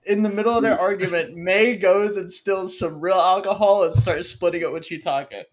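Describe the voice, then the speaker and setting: A man's voice: high voice, normal speed, poor audio. A man playing a tabletop roleplaying game, reacting in casual banter with the other players.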